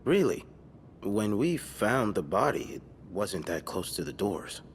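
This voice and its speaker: A man playing an impatient police detective in a video game, questioning a witness briskly. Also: fairly low pitch, very animated, in a large hall, a little hiss or hum. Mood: probing. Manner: brisk, interrogating.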